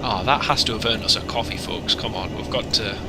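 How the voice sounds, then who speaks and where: A man's voice: on the low side, brisk. A man chatting casually on a flight sim livestream, joking and rambling between asides. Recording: noisy, with engine hum.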